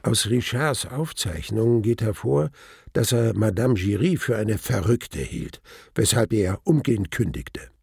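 An actor playing a sober male chronicler, narrating a radio play in with a gravelly, husky voice. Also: medium pitch, a little mellow, no echo, studio quality, exaggerated voice. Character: sober male chronicler recounting events from records.

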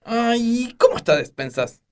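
An Argentine actor playing a bumbling, bossy detective boss in a comedic radio play. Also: male voice, very high-pitched, very animated, unhurried, clean tone, full character voice. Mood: casual.

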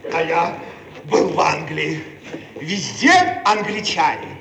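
A man's voice: fairly high pitch, expressive, slow pace, background noise. An actor playing an eager, blustering man in a Soviet satirical radio play, effusive and given to repeating his words. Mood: self-important. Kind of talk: theatrical comic radio play acting. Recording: echoey archive radio recording with crowd.

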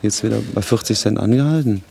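Mellow, lowish-voiced man giving running commentary. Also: fast, gravelly. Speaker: auction hall worker.

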